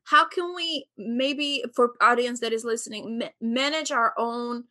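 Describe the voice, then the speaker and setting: Woman host from Albania, high and bright, earnest, long winding questions. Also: measured pace. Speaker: interview host. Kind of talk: conversational interview.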